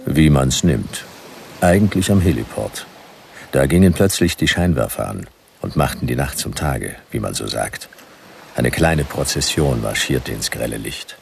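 A very deep, gravelly detective narrates a noir radio play. He is laconic and deadpan, heard a little distant from the microphone with faint noise behind him. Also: unhurried, full character voice. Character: laconic, world-weary male private detective narrator.

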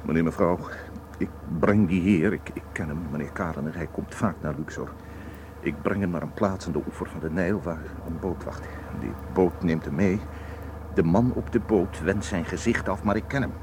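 Casting: male actor for obliging, evasive Egyptian cabbie, low voice, halting and stammering, radio play over noisy street ambience. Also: lightly acted. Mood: frightened, pleading. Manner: hesitant, stammering, deferential. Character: nervous, obliging Egyptian taxi driver, pleading and evasive. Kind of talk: radio drama acting.

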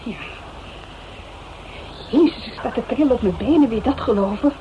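A woman's voice: fairly high pitch, moderate pace, natural voice. An actress playing an anxious, loyal young woman in a Dutch radio crime drama, colloquial and questioning. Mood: nervous. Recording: noisy, narrow-band old radio drama recording.

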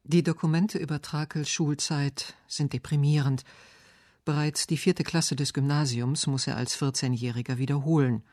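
Male reader for literary radio essay, lighter higher pitch, dry studio sound. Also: steady tone, moderate pace, darkish tone, no room sound. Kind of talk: scripted literary reading and narration.

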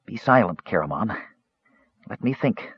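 A man voicing a weakened, terse male mage in an audiobook, quiet, brooding and impatient. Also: on the low side, animated, a little slow, slightly rough, dry recording, highs cut off, exaggerated voice. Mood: weak, terse. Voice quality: weak, quiet.

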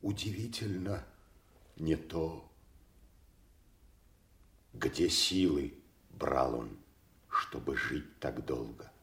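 A man's voice: very deep voice, extremely slow, pauses often, fairly bright tone, echoey room, full character voice. An actor playing a loyal, sorrowful nobleman in a king's service, in a solemn, declamatory classical stage manner. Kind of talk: classical theatrical audio drama acting.

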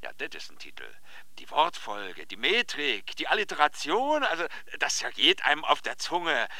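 An actor playing a pompous, excitable male publishing editor in a radio play, theatrical and condescending. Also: fairly high pitch, normal speed, no room sound, exaggerated voice. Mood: delighted, grandiose. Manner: theatrical, condescending, swooping emphasis. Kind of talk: German radio play dialogue.

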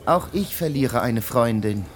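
Actor as a nervous, evasive man, mid-pitched. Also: expressive, a little slow, close to an everyday voice. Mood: guarded, civil. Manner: hesitant, defensive. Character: evasive, nervous male friend under accusation, deferential but defensive. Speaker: actor in a radio drama. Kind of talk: German radio play acting.

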